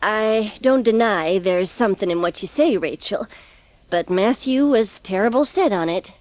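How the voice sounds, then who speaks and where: A woman's voice: medium pitch, animated, normal speed, darkish tone, dry recording, natural voice. Sensible older farm woman played by a woman, close, dry sound. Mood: conceding. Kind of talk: audiobook dramatisation acting.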